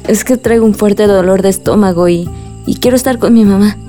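A mellow female voice from an actress plays a weary, earnest sweetheart. She is measured and firm at a middle pitch, radio drama with soft music behind. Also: close to an everyday voice.

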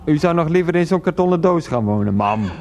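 An actor in a radio play playing a flustered son pleading haltingly and repetitively with his mother on the phone. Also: a little high, animated, creaky, a crowd in the background. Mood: incredulous, pleading.

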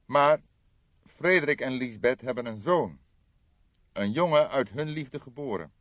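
Stern middle-aged gentleman, acted by a male narrator, raised pitch, gloomy, formal, emphatic, audiobook, dull roomy recording. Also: animated, a little slow. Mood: solemn, emphatic.

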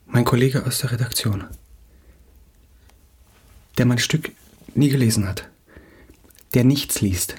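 An actor playing an insecure, agitated young playwright in a radio play, halting and stammering as he repeats himself. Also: medium pitch, darkish tone, breathy edge. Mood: bitter, doubting. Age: young adult.

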